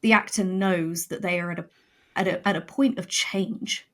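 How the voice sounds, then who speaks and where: Woman in animated, conversational podcast discussion. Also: fairly low pitch, normal speed. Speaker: guest, audio drama writer.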